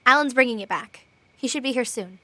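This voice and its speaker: An actress playing a practical, decisive crew captain in an audio drama, speaking in a direct, businesslike way. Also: female voice, a little high, a little fast, sharp tone, no room sound. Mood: businesslike, probing.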